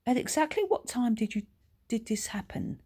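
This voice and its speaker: A woman voicing a composed, cautious witch who gives advice in a measured, polite manner in a children's storybook. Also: a little high, lively intonation, mellow, slightly rough, pitched above the performer's own voice. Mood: surprised. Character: composed, cautious female witch advising a novice.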